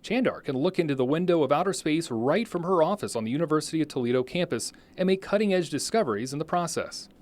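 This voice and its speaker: A man reads scripted news narration. His voice sits slightly high and his delivery is quick. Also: animated. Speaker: news reporter.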